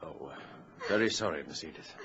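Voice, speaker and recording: An actor playing a deferential but decisive first mate in an old radio drama, clipped and dutiful. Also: male voice, extremely low pitch, brisk, pushed and bright. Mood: hesitant. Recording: muffled, slightly noisy vintage radio recording.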